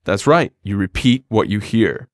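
A man's voice: fairly low pitch, animated, a little slow, no room sound. A man co-hosting a scripted language lesson, explaining phrases in an upbeat, plain way. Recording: dry studio recording.

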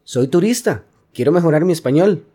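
Guy acting as polite tourist at customs, husky voice, really lively pitch, lesson dialogue. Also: a little fast. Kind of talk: scripted language-lesson dialogue.

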